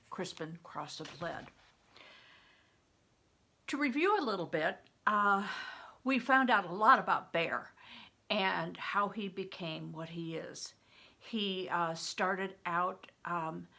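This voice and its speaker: An older woman, a retired teacher, reading aloud and explaining as she goes in a halting, teacherly way. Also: lowish voice, pushed and bright. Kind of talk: read-aloud with explanatory asides.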